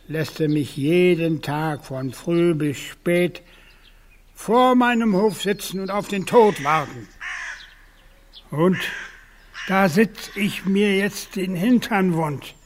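An old farmer in a radio drama, his voice pitched high and dragging slowly. He is bitter and imploring, a cursing man tired of life. There is some background noise. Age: older. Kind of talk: radio play acting.

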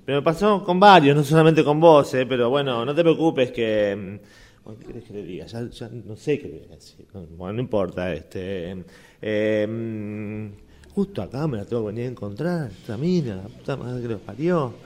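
A man's voice: mid-range voice, animated, moderate pace, a little mellow, natural voice. Man acting flustered, evasive Argentine man, stammering. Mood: irritated, muttering. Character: flustered, evasive man muttering foul-mouthed asides. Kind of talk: improvised radio theatre exercise.

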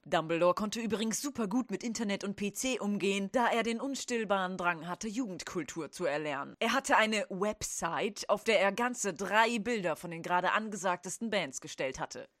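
Woman narrating a parody audio story, deadpan, medium pitch, very dry recording. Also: normal speed, hardly any pauses, no echo. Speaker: narrator and performer. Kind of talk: scripted comic narration.

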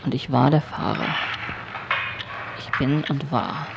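A man playing a haunted, disoriented narrator of a surreal radio drama, gravelly and speaking in deliberate, broken phrases. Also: medium pitch. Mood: disoriented, uneasy. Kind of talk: surreal first-person radio drama monologue. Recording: dull lo-fi recording, loud background noise.

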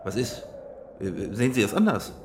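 An actor playing a smug, wealthy boss in a radio crime play, lecturing pompously and with amused condescension. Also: male voice, lowish voice, very animated, normal speed, a little mellow, a little hiss or hum. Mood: smug philosophizing.

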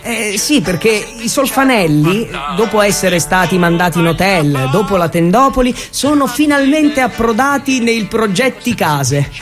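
Guy doing theatrical radio storytelling, high voice, emphatic and irreverent, music playing behind him. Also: big pitch swings, measured pace, few pauses. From Abruzzo, Italy. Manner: irreverent, emphatic, rhetorical questioning. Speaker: solo narrator and actor.

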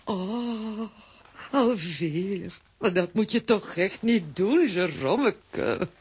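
An actress playing a fussy, bossy, quick-tempered aunt with a husky voice in a children's audio drama. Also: female voice, medium pitch, animated, darkish tone, slight background noise. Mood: fussing.

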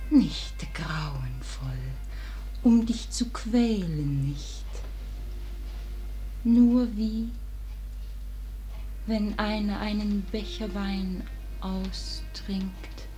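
A woman's voice: medium pitch, dark tone, poor audio. An actress playing a forsaken, dying woman in a German verse radio drama, speaking solemnly and lyrically, often sinking to whispers, wistful and wounded. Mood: resigned sorrow.

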